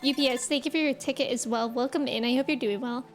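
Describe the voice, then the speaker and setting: Female game streamer, high voice, informal and appreciative, casual live chat with faint music behind. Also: steady tone, fast talker. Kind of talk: casual livestream chat with viewers.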